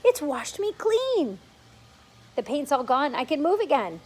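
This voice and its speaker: A woman voicing an excitable, boastful male centipede who crows about being free. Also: high-pitched, unhurried. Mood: gleeful. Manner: excited, gleeful.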